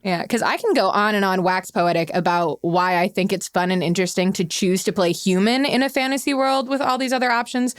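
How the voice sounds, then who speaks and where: A woman's voice: medium pitch, fairly even intonation, brisk, hardly any pauses, dry recording, studio quality. A young woman chatting casually as a podcast guest. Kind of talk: casual chat.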